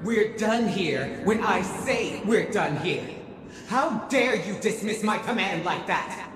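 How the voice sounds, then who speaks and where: A fierce, defiant woman leader speaks with imperious bite at a medium pitch. Her confrontational video game delivery rings through a long, echoing hall with clear background noise. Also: expressive, slow pace. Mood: angry, bitter. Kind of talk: dramatic video game cutscene. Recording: echoing large hall, loud ambient noise.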